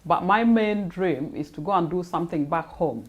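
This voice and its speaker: A woman's voice: lowish voice, normal speed. A woman from northern Uganda, answering interview questions about her charity in a plain, earnest way. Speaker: guest, charity founder.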